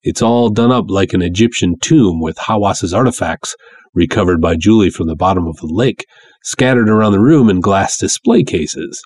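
Husky, lower-pitched man narrating a story. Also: animated, moderate pace, a little mellow, no echo. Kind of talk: dramatic first-person story narration.

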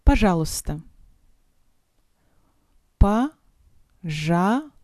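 A woman leads a vocabulary drill at a very slow, deliberate pace. She enunciates each phrase with care, then splits it word by word and repeats it. Her voice is soft and mellow, recorded close and dry. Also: medium pitch, big pitch swings, no echo. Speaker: language teacher.

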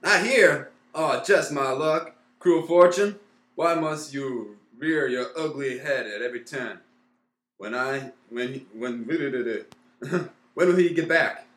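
A man's voice: medium pitch, slow pace, fairly bright tone. A man playing a frantic, theatrical character given to florid speech in a radio play. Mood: despairing. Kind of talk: old-time radio play acting. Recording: roomy, echoing recording.

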